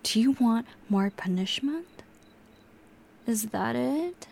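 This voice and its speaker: A woman's voice: on the high side, lively intonation, slow pace, creaky, a little hiss or hum, heavily acted. A woman playing a volatile, possessive young captor. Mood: irritated. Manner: drifts between soft whispers and sudden snapping. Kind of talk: audio roleplay acting.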